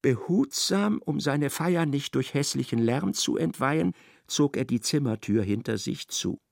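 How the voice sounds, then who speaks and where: A man narrating a literary radio play in a dramatic, expressive, scripted style. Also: medium pitch, a little slow, vocal fry, dry recording, studio quality.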